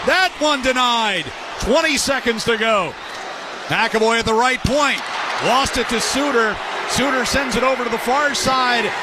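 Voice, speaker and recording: A man's voice: high-pitched, background noise. A man doing live radio play-by-play hockey commentary in clipped phrases.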